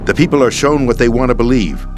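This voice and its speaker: An actor playing a disillusioned, world-weary middle-aged American president in a dramatic video game scene, with an emphatic theatrical delivery. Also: male voice, mid-range voice, quick pace, natural voice. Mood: grave, cynical. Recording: game soundtrack music underneath.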